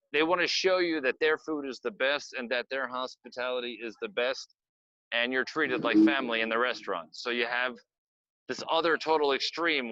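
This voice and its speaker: Bright-voiced man guiding a walking tour. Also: mid-range voice, dry recording. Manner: rambling, chatty, self-interrupting. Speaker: tour guide. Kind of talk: live virtual walking tour, casual chat. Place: outdoor city street and cafe. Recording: dull, lo-fi video call audio.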